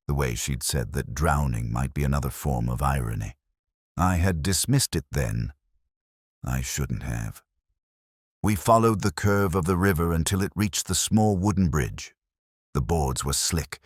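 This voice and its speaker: Very deep, brooding detective voiced by a man. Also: dry recording, studio quality, full character voice. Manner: solemn, measured, literary. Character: melancholy, brooding male English police inspector narrating his case. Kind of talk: scripted first-person radio drama narration.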